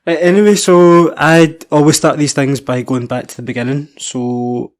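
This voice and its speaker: A man in his twenties hosting a podcast, reading a measured intro and then chatting friendly with his guest. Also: a little high, fairly even intonation. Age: 20s. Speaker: podcast host. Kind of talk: scripted intro then casual interview.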